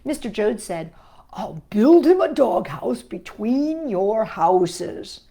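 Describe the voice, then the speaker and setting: A woman's voice: medium pitch, big pitch swings, slow, vocal fry, breathy edge. Sing-song woman reading stories to kids. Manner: gentle, sing-song, talking to a puppet friend. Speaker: children's storytime host. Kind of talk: reading aloud and singing to a puppet.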